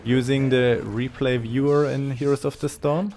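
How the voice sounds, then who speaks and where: Hesitant German man with creaky voice. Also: mid-range voice, steady tone, normal speed, darkish tone. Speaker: gaming commentator. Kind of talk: unscripted gameplay commentary.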